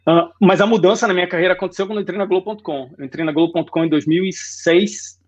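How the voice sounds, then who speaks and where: Um homem na casa dos quarenta com sotaque baiano misturado com carioca, contando sua trajetória numa conversa descontraída, com muitos "né?". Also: male voice, high voice, fast, lo-fi sound. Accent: Bahian Portuguese mixed with Rio. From Salvador, Bahia, Brazil. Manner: relaxed storytelling, frequent "né?". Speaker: guest designer. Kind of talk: casual interview chat.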